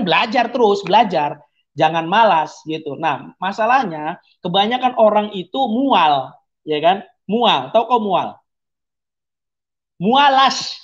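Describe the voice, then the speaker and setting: Man preaching in casual testimony. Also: sits high. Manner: preachy, animated, punctuates with rhetorical tags. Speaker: podcast guest giving testimony. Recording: dull lo-fi sound.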